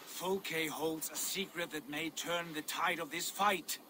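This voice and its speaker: An actor playing a proud, secretive Norse jarl in a video game, commanding and insistent. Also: male voice, on the high side, fairly even intonation, a little slow, noisy background, close to an everyday voice. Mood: resolute.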